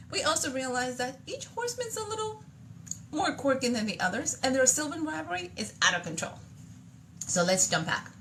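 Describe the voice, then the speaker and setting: A woman's voice: a little high, slight background noise. Chatty woman with a Dominican accent. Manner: chatty, animated dialogue voices. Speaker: author reading her own book. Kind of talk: book reading with asides.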